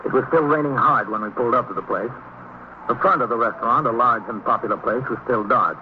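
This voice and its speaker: An actor with an American accent playing a businesslike, authoritative police captain in an old-time radio drama, speaking in a clipped, matter-of-fact way. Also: male voice, mid-range voice, natural voice. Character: police precinct captain, steady, businesslike commanding man. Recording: muffled, noisy old radio recording.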